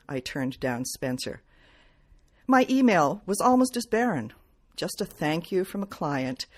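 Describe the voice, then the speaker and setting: Low-key woman reading detective fiction. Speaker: author reading from own novel. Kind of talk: audiobook-style reading of first-person detective fiction.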